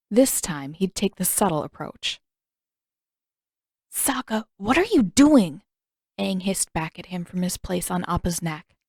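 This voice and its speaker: A woman with a mid-range voice narrates fiction. Her delivery is scripted and expressive, in the manner of an audiobook. The recording is dry, with very little room sound. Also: medium pitch, no room sound.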